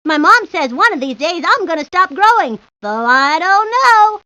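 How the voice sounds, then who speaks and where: Very high, goofy giggly kid. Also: female voice, sing-song, normal speed, sharp tone, dry recording, exaggerated voice. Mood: amused. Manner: rambling, giggly, childlike. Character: goofy giggly talkative kid, excitable and silly. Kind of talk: video game character lines. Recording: dull lo-fi old game audio.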